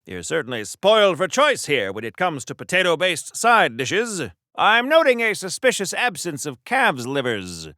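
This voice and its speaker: A male voice actor playing a chatty, courtly, oblivious gentleman adventurer in a comic superhero game, in a mannered, wordy 1940s old-time radio style. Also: on the high side, wildly swooping pitch, moderate pace, no echo, studio quality, heavily acted. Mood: jovial. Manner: mannered, wordy, theatrical, polite.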